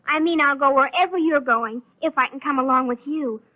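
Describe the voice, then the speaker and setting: A woman's voice: sits high, brisk, lightly acted. An actress playing an eager, adoring teenage girl in an old radio soap opera, gushing and pleading. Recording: muffled old radio recording.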